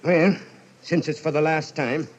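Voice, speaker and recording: Man acting sardonic rich old uncle, high-pitched. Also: fairly even intonation, measured pace, lightly acted. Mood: sardonic, unyielding. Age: older. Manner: sardonic, haughty. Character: stern, sharp-tongued, miserly old rich uncle. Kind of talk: old-time radio horror acting. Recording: muffled old radio recording.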